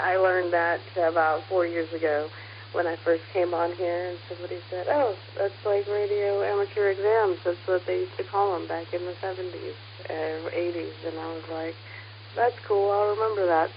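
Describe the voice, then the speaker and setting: An American woman with a fairly low voice chats casually as a radio operator, hesitant and thinking aloud. The transmission is dull and band-limited, with a light hiss behind her. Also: fairly even intonation, normal speed. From United States. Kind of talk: casual radio contact chat. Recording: muffled, hissy ham radio transmission.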